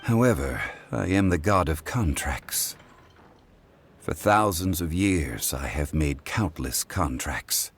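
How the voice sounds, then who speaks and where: A man voicing a calm, ageless god in a video game, formal, composed and solemn. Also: extremely low pitch, slow, a little hiss or hum, exaggerated voice. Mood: solemn composure. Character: calm, dignified male god of contracts, ageless. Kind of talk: video game cutscene voice acting. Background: music.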